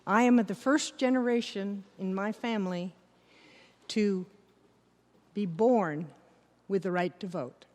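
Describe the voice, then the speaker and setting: A woman's voice: medium pitch, a little slow, pauses often, a little mellow, slight background noise. An older American woman giving a prepared personal statement as a readers theater performer. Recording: reverberant hall recording.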